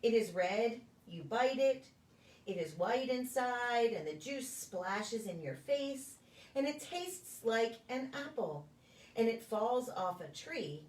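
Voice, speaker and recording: A woman reading a children's picture book aloud in a deliberate, sing-song storytelling way for preschoolers. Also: on the high side, very animated, a little mellow. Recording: echoey room, distant microphone.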